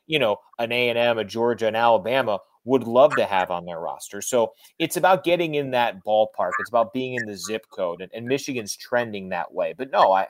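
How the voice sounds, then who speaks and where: A man's voice: mid-range voice, animated, brisk, hardly any pauses. A man talking sports as a guest analyst, explaining his points emphatically and repeating phrases to drive them home. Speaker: radio play-by-play announcer, guest analyst.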